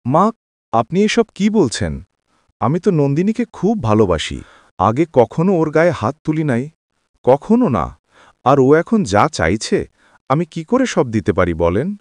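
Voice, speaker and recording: A man's voice: medium pitch, huge pitch swings, moderate pace, long pauses, no echo. A man voicing an earnest, devoted young husband and son in a cartoon drama, pleading with emphasis.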